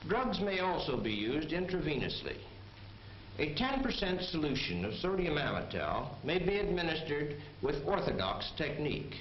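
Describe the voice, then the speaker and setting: A man's voice: on the high side, very animated, normal speed. A man playing an army major who gives a precise, formal medical lecture in a 1940s training film. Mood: formal, instructive. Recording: muffled, echoey, noisy 1940s film soundtrack.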